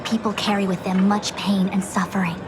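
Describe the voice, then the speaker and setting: A woman's voice: mid-range voice, level tone, brisk, over music, natural voice. A woman voicing a composed, authoritative female diviner in a video game, speaking formally and precisely. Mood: cautioning, calm.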